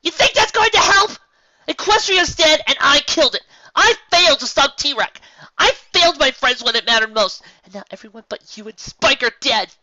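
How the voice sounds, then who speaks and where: A woman's voice: high voice, animated, brisk, hardly any pauses, no room sound, recorded from a distance, pitched above the performer's own voice, low-quality recording. A woman voicing a panicked young female unicorn princess, screaming and near tears. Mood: frantic. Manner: screaming, frantic, tearful.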